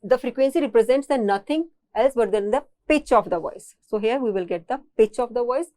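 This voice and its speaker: A woman's voice: a little high, fairly quick, mellow, dry recording. A woman with an Indian accent giving a technical engineering lecture, explaining step by step and often repeating and rephrasing her phrases.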